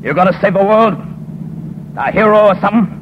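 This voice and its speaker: An actor playing a menacing gangster boss who feigns friendliness, in the style of 1950s radio drama. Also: male voice, fairly quick, natural voice. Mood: angry, threatening. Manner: falsely friendly, veiled threats. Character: menacing, smooth-talking male gangster boss posing as respectable businessman. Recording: noisy, muffled 1950s radio recording with car rumble.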